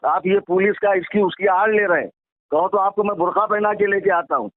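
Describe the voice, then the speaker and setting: A man's voice: high-pitched, steady tone, fairly quick, dry recording. A man in a heated religious argument on the phone, combative and taunting, repeating his demands and challenges. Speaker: religious debater. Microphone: phone call. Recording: tinny phone line.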